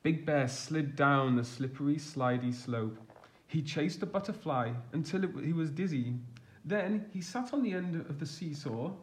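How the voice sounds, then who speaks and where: A man reading a children's storybook aloud as a teacher would, expressive and deliberate, stressing the action words. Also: on the high side.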